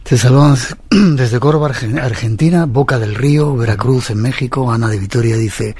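Very husky male radio host. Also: mid-range voice, moderate pace, no echo. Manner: relaxed, reads listener greetings. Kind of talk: late-night radio interview.

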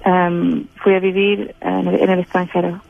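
A woman from Chile with a Chilean-tinged Spanish accent, speaking as an interview guest in a halting way, with many fillers and restarts. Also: fairly low pitch, level tone, creaky. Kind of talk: hesitant conversational interview. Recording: tinny phone-like line with faint music.

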